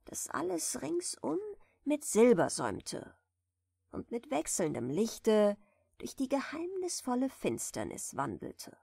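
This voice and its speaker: A woman softly reading a bedtime fairy tale in a gentle, soothing manner, at times close to a whisper. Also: a little high, wildly swooping pitch, slow, vocal fry, breathy edge, no echo. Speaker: audiobook reader, storyteller.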